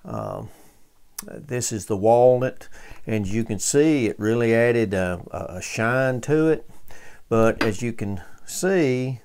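A man hosting a casual hands-on restoration demonstration, talking in a folksy, halting way as he thinks aloud while working. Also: mid-range voice, sing-song, a little mellow, clean tone. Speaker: host of a vintage radio restoration channel.

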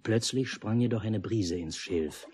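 Actor as deep, pensive male narrator, old radio play. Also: a little mellow, close to an everyday voice. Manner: measured, reflective. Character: pensive father narrating his lakeside memory. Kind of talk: literary first-person radio drama narration. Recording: noisy 1950s mono radio recording.

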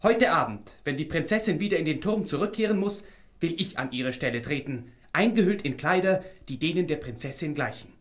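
An actor playing a brave, resolute young hero in a fairy-tale audio drama, with earnest, formal diction. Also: male voice, fairly high pitch, animated, fairly quick, lightly acted.

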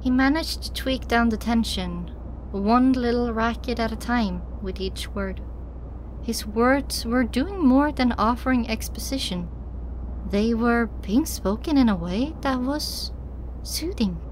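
A woman's voice: fairly high pitch, a little slow. A woman narrating a scripted first-person story as an audiobook reader. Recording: clearly noisy background.

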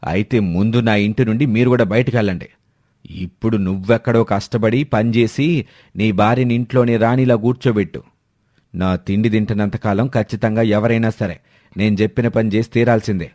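A man voicing a stern, angry old father who scolds and commands, in an animated moral story. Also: fairly low pitch, fairly quick, no room sound, studio quality, lightly acted. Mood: harsh.

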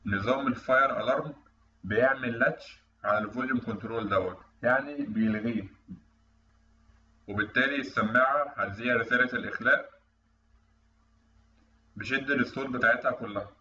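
A man's voice: medium pitch, fairly quick, pauses often, bright, forceful tone. Egyptian man teaching, roomy distant recording. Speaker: online instructor. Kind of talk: tutorial explanation over screen recording. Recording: roomy, distant mic, dull, faint noise.

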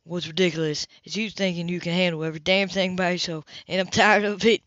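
Gruff, bossy, furious man for audiobook drama, female reader, dull close sound. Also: on the low side, steady tone, quick pace, fairly bright tone, dry recording, natural voice. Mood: stern, angry. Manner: curt, scolding, profane. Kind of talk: dramatic audiobook character dialogue.

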